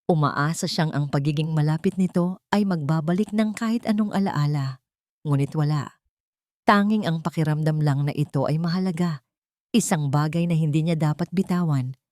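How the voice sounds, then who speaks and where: A woman reading a scripted dramatic story as narrator, pausing between short phrases. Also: low voice, darkish tone, no echo, studio quality. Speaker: narrator of audio love stories.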